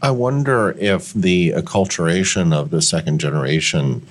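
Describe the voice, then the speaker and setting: A man's voice: sits low, moderate pace. A man interviewing a guest, asking thoughtful, probing questions.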